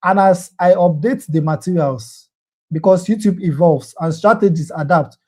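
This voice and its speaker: Earnest man with a high, mellow voice. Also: normal speed, husky. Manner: earnest, persuasive, repeats phrases, ends points with "okay?". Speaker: online course instructor. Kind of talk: sales pitch answering common questions.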